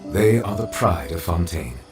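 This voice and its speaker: An actor playing a solemn townsman in a video game, speaking slowly and earnestly. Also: low voice, very animated. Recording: distant game audio with music, roomy.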